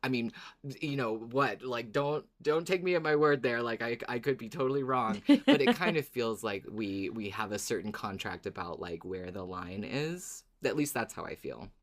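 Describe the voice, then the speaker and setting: Enthusiastic, casual podcast co-host with mid-pitched voice. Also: normal speed. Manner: animated, enthusiastic, swears freely. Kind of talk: casual fan discussion chat.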